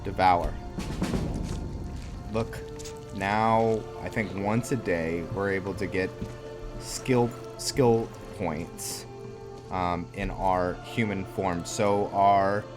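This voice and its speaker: A man's voice: deep voice, animated, slow, long pauses. A man giving casual gameplay commentary as host of a roleplay series, thinking aloud in a rambling, musing way that often trails off. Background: game music.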